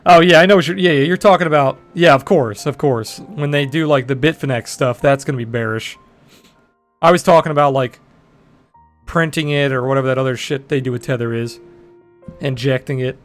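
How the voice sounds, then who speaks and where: A young man in his twenties with a deep voice, chatting bluntly and profanely with viewers on a trading livestream. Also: fairly quick, soft background music. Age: 20s. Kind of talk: casual livestream chat answering viewers.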